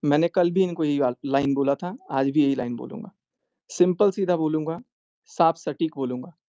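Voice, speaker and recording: A man's voice: on the high side, normal speed, warm tone, no echo, dull audio. A man in a heated argument, insistently repeating himself and cutting in to make his point. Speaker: YouTube vlogger.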